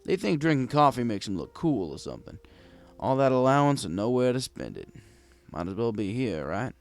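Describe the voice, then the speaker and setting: A man's voice: medium pitch, big pitch swings, brisk, vocal fry. A man reading a scripted first-person story as a wry, conversational bartender pony. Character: wry, gruff bartender pony telling his tale. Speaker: story narrator.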